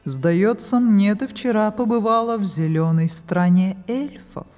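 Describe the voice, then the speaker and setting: A woman's voice: medium pitch, a little mellow, in a large hall, close to an everyday voice. A woman voicing a grave old lord in a storybook tale, admonishing and ominous in manner. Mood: grave warning. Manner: slow, ominous warning. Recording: music behind the voice.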